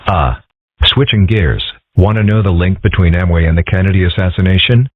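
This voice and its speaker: A man voicing a mild, bookish, deadpan homeschooled boy in a comic strip dub, with a husky, subdued voice. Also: low-pitched, brisk, no echo. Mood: deadpan, pondering. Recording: muffled phone-like lo-fi recording.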